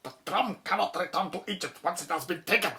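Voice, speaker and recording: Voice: medium pitch, quick pace, bright, forceful tone. An actor playing a grave, formal official in an audio drama, solemn, precise and foreboding. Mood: grave, urgent warning.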